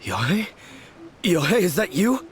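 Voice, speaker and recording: A man's voice: high-pitched, big pitch swings, a little slow, slight background noise. A man playing a self-deprecating, shaken young swordsman in a video game, earnest and confessional.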